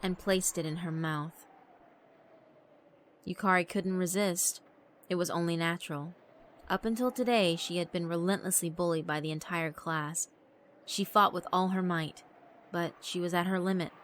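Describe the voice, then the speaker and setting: A woman narrating a horror visual novel in a measured, dramatic storytelling manner. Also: fairly low pitch, steady tone, a little hiss or hum.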